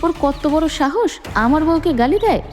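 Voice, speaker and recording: A woman's voice: high voice, lively intonation, moderate pace, darkish tone, voice pushed up. A woman storyteller voicing a fiercely protective, hot-tempered middle-aged father with a commanding, emphatic delivery. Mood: angry, defiant. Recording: long reverb, music underneath.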